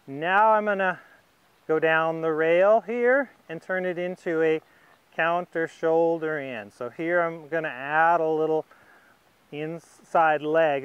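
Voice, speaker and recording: Man, riding instructor, smooth clear voice, slightly high pitch, unhurried patient step-by-step explanation. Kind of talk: instructional demonstration narrated while riding.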